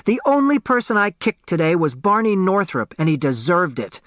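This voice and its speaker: A man's voice: high voice, sing-song, normal speed, fairly bright tone, dry recording, studio quality, voice pushed up. A man voicing a defiant, grieving young girl in a storybook, angry and protesting. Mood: indignant shouting.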